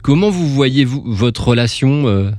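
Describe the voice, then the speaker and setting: A man's voice: medium pitch, lively intonation, a little slow, a little mellow, dry recording, clean studio sound. A man hosting a casual music interview, rambling and repeating words as he forms his questions. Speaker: radio interviewer.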